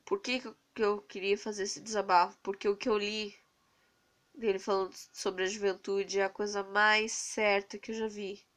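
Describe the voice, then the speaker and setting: A young Brazilian woman with a cold, hoarse and stuffy-nosed, venting earnestly into her phone camera in a rambling casual vlog. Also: medium pitch, level tone, moderate pace, fairly bright tone. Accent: Brazilian Portuguese. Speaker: vlogger. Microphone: phone camera held close to the face.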